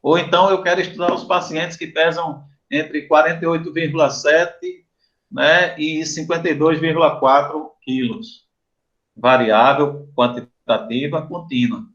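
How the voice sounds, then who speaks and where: A man with a Brazilian Portuguese accent, a professor giving an informal explanatory lecture to students, often pausing to check in with "né?" and "tá?". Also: on the high side, steady tone, fairly bright tone, lo-fi sound. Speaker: university professor.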